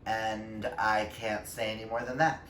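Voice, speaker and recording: A man's voice: lowish voice, level tone, unhurried, a bit bright, recorded from a distance. Gushing man in an echoey room. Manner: gushing, emphatic. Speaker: book reviewer on a vlog. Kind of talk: casual book chat to viewers. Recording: echoey room with faint background noise.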